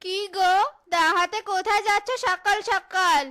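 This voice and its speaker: A woman's voice: very high-pitched, steady tone, normal speed. A woman voicing a questioning, scolding tigress, a farmer's wife, in an exclamatory cartoon style. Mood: surprised. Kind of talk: cartoon voice acting. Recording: loud music behind the voice.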